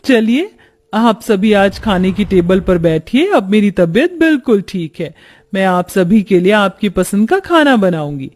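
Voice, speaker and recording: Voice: medium pitch, sing-song, unhurried, darkish tone, soft background music. A man voicing an overworked young daughter-in-law in a cartoon story, resentful yet dutiful, complaining to herself and polite to her elders.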